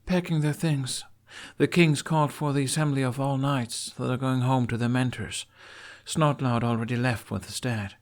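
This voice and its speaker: A man voicing a loyal, steady young woman knight who is frank, matter-of-fact and supportive in a story reading. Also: mid-range voice, normal speed, pitched above the performer's own voice, close to an everyday voice. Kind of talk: dramatized fan fiction reading.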